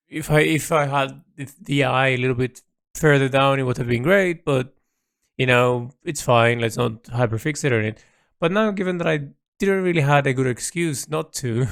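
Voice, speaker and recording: A man's voice: medium pitch, gravelly, a bit bright, dry recording, studio quality. A man speaking hesitantly and reflectively, thinking aloud in an unscripted audio diary as an illustrator. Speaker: illustrator keeping an audio log.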